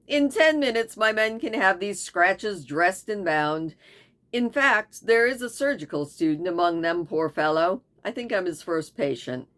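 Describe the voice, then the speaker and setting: A woman's voice: mid-range voice, fairly bright tone. A woman voicing a gallant young male goat hunter who speaks in a light, joking way.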